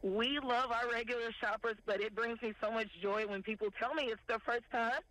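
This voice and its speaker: A woman, a farmers market director calling in to a morning news show and listing products in a steady, unhurried way. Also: on the high side, no room sound. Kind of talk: phone interview, listing products. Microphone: telephone. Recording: tinny phone line.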